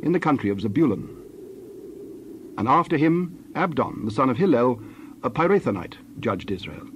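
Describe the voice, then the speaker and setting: Male Bible narrator, formal, solemn, medium pitch, slightly muffled recording. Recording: dull lo-fi with faint noise.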